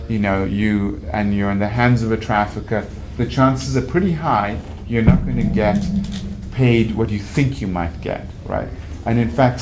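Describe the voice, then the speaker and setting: A man's voice: fairly low pitch, a little mellow. A man speaking on a panel, conversational and anecdotal, often restarting his sentences. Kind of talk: panel talk. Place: event venue. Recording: noisy live event recording.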